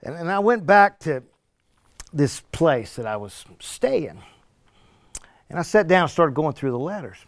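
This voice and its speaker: An actor playing a streetwise, remorseful burglar who tells his story in halting, conversational stage monologue. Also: fairly high pitch, animated. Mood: sly, conspiratorial. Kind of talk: one-man stage play monologue.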